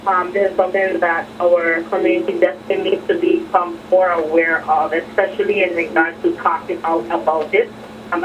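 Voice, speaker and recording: A woman's voice: on the low side, far from the mic, a little hiss or hum. Woman caller on a dull phone line. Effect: telephone. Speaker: phone-in caller, amateur voice cast member. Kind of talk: conversational reflection.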